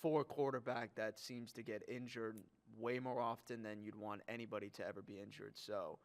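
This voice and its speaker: A man's voice: on the low side, steady tone, fairly quick. A man co-hosting a sports podcast, talking casually and readily agreeing with his partner. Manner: agreeable, brief affirmations.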